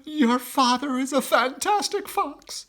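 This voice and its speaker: A frightened mother fox pleads in a very high, quivering voice, performed by a man. Her tone is bright and strained, with wide pitch swings, as in a storybook read aloud. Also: expressive, slow pace, full character voice. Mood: tender. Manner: pleading, sobbing. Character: fearful, tearful mother fox.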